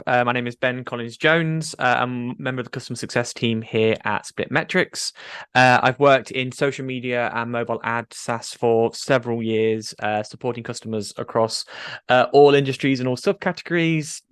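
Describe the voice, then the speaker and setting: A man's voice: mid-range voice, fairly bright tone, clean tone, no room sound, studio quality. A man giving an upbeat, self-deprecating webinar presentation. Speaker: customer success presenter.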